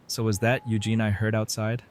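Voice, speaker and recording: A man acts out a camp medic in an audio drama. His voice is on the low side. He talks casually, with a wry, teasing manner. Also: little pitch movement, brisk, lightly acted. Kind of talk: fan audio drama acting.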